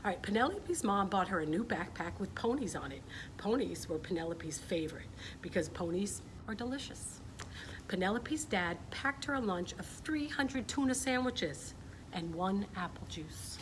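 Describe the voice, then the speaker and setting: A woman's voice: medium pitch, lively intonation, normal speed. A middle-aged woman chatting informally with young listeners and reading aloud on a casual children's story-time livestream. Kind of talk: casual livestream chat and picture-book read-aloud. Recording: clear background noise, live stream.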